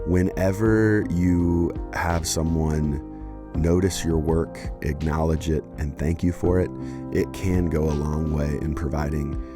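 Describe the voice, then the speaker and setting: A man hosting and introducing an interview for his church community, talking earnestly with lots of fillers and quick asides. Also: extremely low pitch. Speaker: pastor and host.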